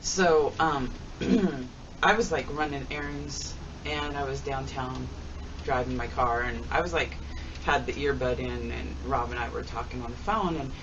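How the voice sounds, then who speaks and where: A woman's voice: extremely low pitch, unhurried. A woman vlogger telling stories in a rambling, folksy, chatty way with homespun phrases. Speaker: vlogger doing a tag video. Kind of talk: rambling casual storytelling. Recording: distant mic, dull sound, noisy background.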